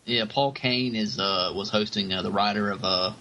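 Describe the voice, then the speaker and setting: Lowish, bright-voiced man chatting casually. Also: moderate pace, poor audio. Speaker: podcast co-host. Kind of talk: casual podcast chat.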